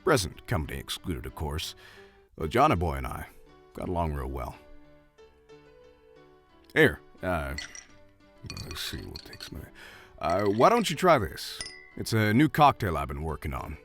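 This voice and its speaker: Folksy saloon bartender, swooping pitch. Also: male voice, very animated, measured pace, pauses often, faint music behind, exaggerated voice. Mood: sympathetic, then friendly, hesitant. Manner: hesitant, folksy, soft-hearted. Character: friendly, chatty Old West saloon bartender, a man. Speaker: voice actor. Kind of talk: audio roleplay acting addressed to the listener.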